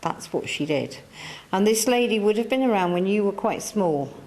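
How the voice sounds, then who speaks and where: Woman medium, mid-pitched, chatty and coaxing, addressing a church audience. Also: lively intonation, moderate pace, darkish tone. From England. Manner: coaxing, chatty, repeats herself. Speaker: spiritualist medium. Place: church hall.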